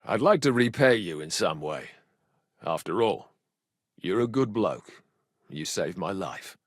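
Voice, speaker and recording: Cheeky younger-brother rogue, medieval video game character, very lively intonation, mid-pitched, irreverent colloquial banter, dry studio sound. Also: male voice, no room sound. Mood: relaxed, earnest. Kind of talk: video game dialogue acting.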